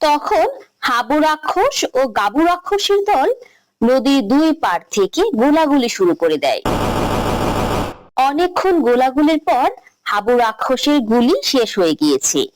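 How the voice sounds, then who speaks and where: A woman's voice: high-pitched, big pitch swings, normal speed, background noise. A woman narrating a scripted children's story. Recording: music behind the voice.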